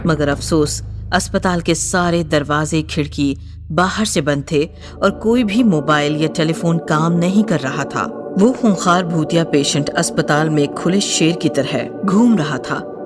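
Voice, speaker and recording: Low-voiced woman narrating horror. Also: a little slow, music in the background. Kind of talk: dramatic scripted horror narration.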